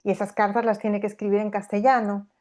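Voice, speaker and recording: Woman lecturer, medium voice, reflective and halting, many pauses. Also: mid-range voice, flat delivery, a little mellow. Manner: thoughtful, hesitant, with many fillers and tag questions. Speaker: university lecturer. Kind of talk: academic talk with read-aloud quotations. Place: lecture hall at a public talk.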